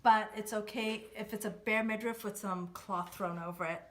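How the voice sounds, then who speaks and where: A middle-aged woman from Kuwait, chatting as an interview guest and telling stories in an animated way, often saying "right" and "like". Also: medium pitch, moderate pace. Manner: animated storytelling, frequent "right" and "like". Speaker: interview guest, cultural consultant. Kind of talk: casual conversational interview.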